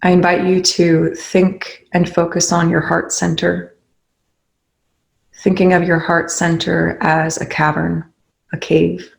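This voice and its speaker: A meditation leader guiding listeners in a soothing, unhurried way, with pauses between short instructions. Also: medium pitch, monotone, gravelly. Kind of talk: guided meditation.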